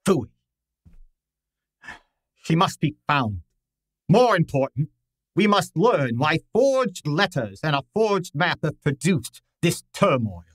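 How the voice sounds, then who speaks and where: A man playing a pompous, imperious middle-aged genius detective, precise and deliberate, in an old-time radio drama style. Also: on the high side, sing-song, dry recording, heavily acted. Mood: imperious, exasperated.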